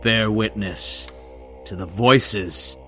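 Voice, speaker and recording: A man's voice: fairly low pitch, very slow, fairly bright tone, soft background music. A man playing a grave, ceremonial host of a mourning gathering, delivering an audio fiction prologue with solemn deliberation.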